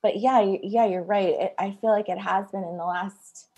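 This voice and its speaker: A woman in casual podcast conversation, relaxed and thoughtful, laughing often. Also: medium pitch, moderate pace, muffled recording.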